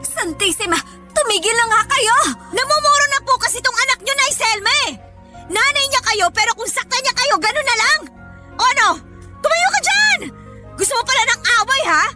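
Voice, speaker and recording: A woman's voice: very high voice, animated, normal speed, over music. A woman playing a feisty, protective young coworker in a Tagalog radio drama, combative and challenging. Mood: fierce, taunting.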